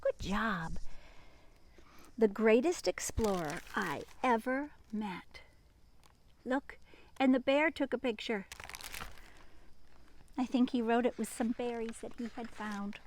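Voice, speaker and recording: Woman primary teacher for children's story time: light high voice, very measured pace, expressive delivery, hushed asides to young listeners. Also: slight background noise. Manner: sing-song, expressive, addresses children directly with hushed asides. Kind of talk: animated children's picture-book read-aloud and gentle chat to children.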